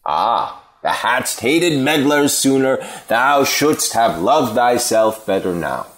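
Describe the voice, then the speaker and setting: An actor playing a cynical, needling Athenian philosopher in a Shakespearean audio play, deliberate and mocking. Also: male voice, mid-range voice, big pitch swings, fairly bright tone.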